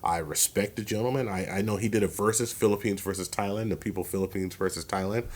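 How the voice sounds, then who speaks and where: Deep-voiced male podcast co-host, emphatic, repeats himself for weight, casual chat. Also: fast talker. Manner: emphatic, repeats phrases while building a point.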